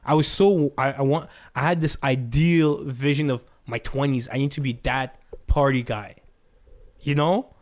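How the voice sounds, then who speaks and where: A man in his twenties co-hosting a podcast, talking in an animated way, often restarting and quoting himself. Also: medium pitch, normal speed. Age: 20s. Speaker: podcast co-host. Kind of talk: casual chat.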